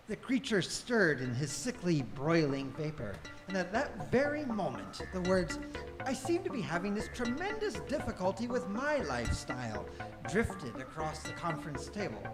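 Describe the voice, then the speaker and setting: A man acts as the dryly wry narrator of a live radio play. His slightly high voice is measured and dramatic. Music plays clearly behind him in a reverberant hall.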